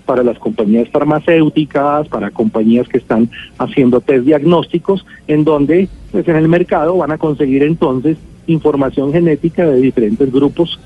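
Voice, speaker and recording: A man's voice: fairly high pitch, moderate pace, breathy edge. A man with a Colombian accent explaining as an expert guest in a radio interview, emphatic and given to everyday examples. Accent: Colombian Spanish. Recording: narrow phone-like line, music.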